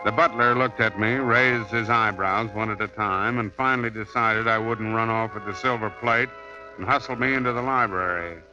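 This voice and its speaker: An actor playing a sardonic, hardboiled detective who also narrates, in a 1950s radio drama. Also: male voice, lowish voice, fairly even intonation, normal speed, over music, natural voice. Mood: narrating. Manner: dry, sardonic wisecracks. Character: wisecracking male detective, dry and hardboiled. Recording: muffled old radio transcription.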